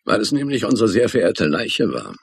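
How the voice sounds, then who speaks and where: An actor playing a smug, suspicious homicide detective in a German radio crime drama, taunting and insinuating. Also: male voice, on the low side, big pitch swings, vocal fry, breathy edge, no room sound, exaggerated voice. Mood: smug needling. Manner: taunting, insinuating, drawn-out emphasis.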